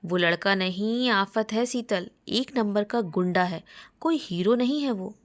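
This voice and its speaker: A woman voicing a troubled young college student in a romance story, polite but quick to indignation. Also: medium pitch, natural voice. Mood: indignant.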